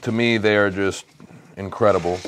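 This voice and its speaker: A man's voice: fairly low pitch, fairly even intonation, normal speed, noisy background. A man in his thirties with an American accent, chatting conversationally in a casual vlog and repeating phrases for emphasis. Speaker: lifestyle vlogger. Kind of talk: casual vlog chat while cooking. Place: home kitchen, indoors.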